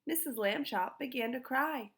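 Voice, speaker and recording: A woman reading a children's storybook aloud in an expressive style. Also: high voice, normal speed. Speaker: storybook reader.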